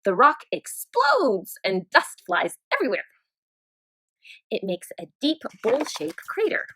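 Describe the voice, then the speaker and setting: A woman teacher reading a children's nonfiction book aloud in an expressive storytime manner. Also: on the high side, slow pace, a little hiss or hum, rough recording.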